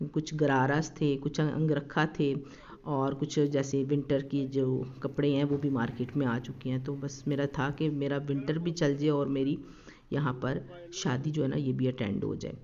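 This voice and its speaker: A woman's voice: a bass voice, steady tone, unhurried, warm tone. A woman narrating a family shopping and dining vlog in a chatty, homely way, with a slightly sore throat and frequent fillers. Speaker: family lifestyle vlogger. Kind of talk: casual vlog voice-over narration. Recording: slightly dull lo-fi recording.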